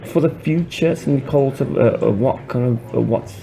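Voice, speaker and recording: A man interviewing a guest, talking loudly by his own account and stumbling through questions with many fillers. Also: mid-range voice, normal speed, vocal fry. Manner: hesitant, many fillers, self-described loud voice. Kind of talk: casual interview conversation. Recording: crowd chatter behind.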